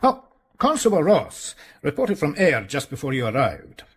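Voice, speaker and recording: Husky, animated Scottish police inspector. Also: male voice, a little high, moderate pace, exaggerated voice. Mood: deferential reporting. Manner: matter-of-fact, deferential. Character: dutiful, plain-spoken Scottish police inspector, practical and deferential. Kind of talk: radio drama acting.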